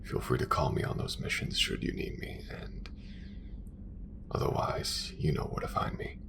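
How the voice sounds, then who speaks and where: A man voicing a calm, devout male alien assassin in an ASMR romance roleplay, whispering in slow, hushed, formal phrases. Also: close to an everyday voice. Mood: gentle. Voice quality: gravelly whisper.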